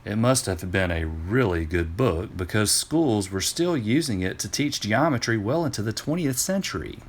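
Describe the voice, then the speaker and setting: Expressive man reading aloud, very animated. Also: on the low side, normal speed. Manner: expressive, emphatic. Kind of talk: scripted storybook narration for students.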